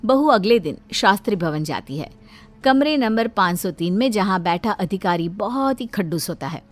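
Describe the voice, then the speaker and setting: A woman reading scripted storytelling narration for an animated moral tale. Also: mid-range voice, normal speed, faint music behind.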